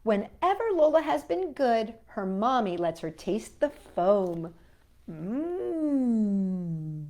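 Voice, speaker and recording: A woman's voice: medium pitch, sing-song, takes it slowly, mellow. A woman hosting a children's storytime, reading a picture book expressively and chatting to young listeners in asides.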